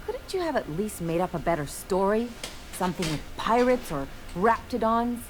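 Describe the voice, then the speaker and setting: An actress playing a brash, bitter young adventurer in a video game, with a sardonic, theatrical way of telling stories. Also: female voice, medium pitch, sing-song, moderate pace, a little hiss or hum, natural voice. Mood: indignant. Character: brash young adventurer woman, bitter, dramatic, estranged from parents.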